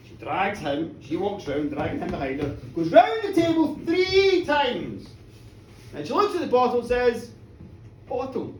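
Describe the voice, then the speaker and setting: A woman telling a folk tale live in a theatrical, colloquial way, repeating phrases for effect. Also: medium pitch. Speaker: storyteller. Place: indoor bar, live performance. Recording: echoey bar, distant mic, background noise.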